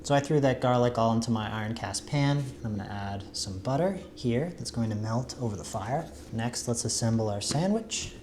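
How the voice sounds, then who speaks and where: A man's voice: mid-range voice, lively intonation, moderate pace, hardly any pauses, darkish tone. A man talking casually and unhurriedly, as if narrating his own camping and cooking vlog. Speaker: found-footage vlogger, narrator. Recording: roomy echo, faint background noise.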